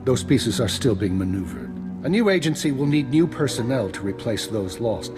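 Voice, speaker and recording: Actor as shrewd, unflappable retired imperial spymaster, music behind. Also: male voice, medium pitch, sing-song, lightly acted. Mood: measured, grave. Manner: formal, measured, dryly polite. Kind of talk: scripted video game acting.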